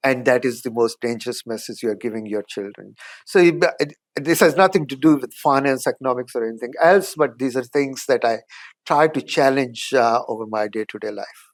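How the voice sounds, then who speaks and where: A middle-aged man with an Indian accent speaks as a professor in an interview. He explains at a measured pace, stopping often with small hesitations. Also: medium pitch. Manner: measured, explanatory, frequent "uh" pauses. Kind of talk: interview answers.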